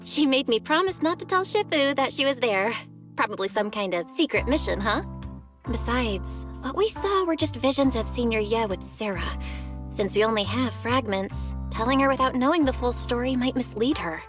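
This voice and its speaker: Level-headed young woman, lightly high voice acted. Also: expressive, moderate pace, over music, lightly acted. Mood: thoughtful. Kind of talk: conversational video game voice acting.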